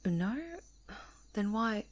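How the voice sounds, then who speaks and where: A woman's voice: medium pitch, fairly even intonation, extremely slow. A woman playing a chatty, easygoing camper in an ASMR roleplay, talking conversationally and teasingly to an unheard listener. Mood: friendly, teasing. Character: friendly, chatty woman camper on a woodland retreat.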